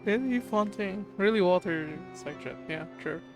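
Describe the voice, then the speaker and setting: Casual gamer reacting over game music. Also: male voice, sits high, moderate pace. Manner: chatty, reactive asides. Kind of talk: casual live commentary. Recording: game music loud behind the voice.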